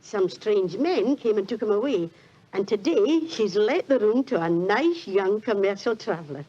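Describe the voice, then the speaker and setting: A woman's voice: mid-range voice, expressive, normal speed, mellow, husky. An actress with a British accent playing a humble, apologetic landlady in 1940s radio style. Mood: deferential, flustered. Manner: deferential, apologetic. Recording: muffled 1940s radio recording.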